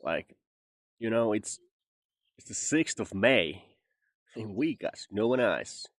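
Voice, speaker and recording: A man's voice: lowish voice, lively intonation, slow, dry recording, clean studio sound. Finnish man with a Southern drawl. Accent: Southern American-style English. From Finland. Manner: rambling, chatty and joking, with many fillers. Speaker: vlogger. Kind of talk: casual monologue to camera.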